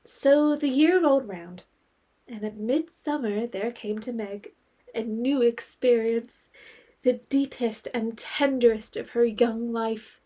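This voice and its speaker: High female voice, composed storybook narrator, expressive, articulate, scripted period narration. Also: slow pace. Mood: lightly amused.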